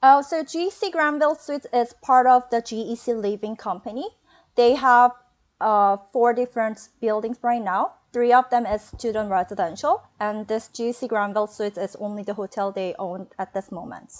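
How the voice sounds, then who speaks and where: A woman whose first language is Korean speaks English with a Korean accent. Her voice sits a little high, and her phrasing is halting, full of fillers as she hunts for words. She talks about her studies in an unscripted webinar interview. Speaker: former student.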